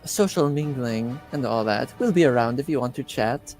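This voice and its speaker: A man's voice: mid-range voice, normal speed, darkish tone, faint music behind, natural voice. A man voicing a polite, flippant noblewoman with formal, courtly phrasing in a tabletop roleplaying game. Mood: airy, dismissive. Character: polite, flippant young noblewomen at a masquerade salon.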